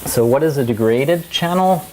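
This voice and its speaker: A man's voice: medium pitch, lively intonation, moderate pace, mellow, a little hiss or hum, low-quality recording. A man giving a university lecture, talking in a digressive way with many restarts and asides. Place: lecture hall.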